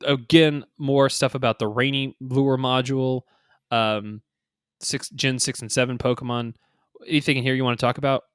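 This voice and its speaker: A man hosting a casual gaming podcast, thinking out loud in an informal, slangy, speculative way. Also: medium pitch, fairly even intonation, moderate pace, clean tone, dry recording, clean studio sound. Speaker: podcast host. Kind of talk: casual two-person podcast discussion of a mobile game.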